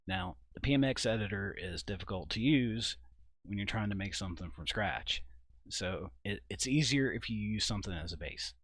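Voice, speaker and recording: A man with a fairly low voice talks through a screen-recorded software demo. He is informal and halting, thinking aloud as he goes. The sound is fairly dry, as recorded indoors at a computer. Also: animated, no room sound. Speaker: video creator and host.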